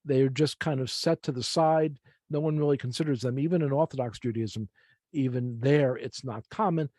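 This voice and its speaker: Bright-voiced American male Bible teacher. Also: medium pitch, no echo, studio quality. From United States. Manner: deliberate, explanatory, repeats key phrases for emphasis. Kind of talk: informal teaching lecture with slides.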